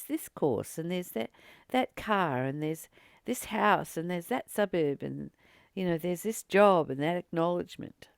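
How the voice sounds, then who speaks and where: A woman giving a spiritual teaching, reading and commenting informally in an earnest, deliberate way, with frequent pauses and emphatic repetition. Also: fairly low pitch, vocal fry, a little mellow, no echo, studio quality. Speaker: spiritual teacher and channel host.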